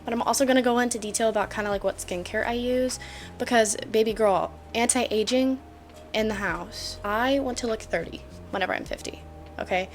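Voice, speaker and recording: A woman's voice: a little high, brisk, slight background noise. A twenty-year-old woman talking casually to camera at home in a chatty vlog, joking and full of fillers. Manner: bubbly, joking, filler-heavy. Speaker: vlogger.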